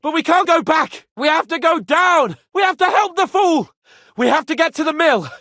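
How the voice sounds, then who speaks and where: A man's voice: extremely high pitch, animated, normal speed, sharp tone, no room sound, studio quality, full character voice. A man playing a haughty, hot-tempered young medieval nobleman in a video game, with a pompous, emphatic delivery. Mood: alarmed. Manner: pompous, lordly, emphatic, swearing.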